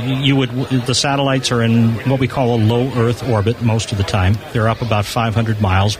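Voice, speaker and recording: A man being interviewed as a guest on a live show, explaining technical things informally from a convention floor booth. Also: mid-range voice. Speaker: amateur satellite enthusiast, show guest. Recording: busy crowd noise, live radio broadcast. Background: crowd chatter.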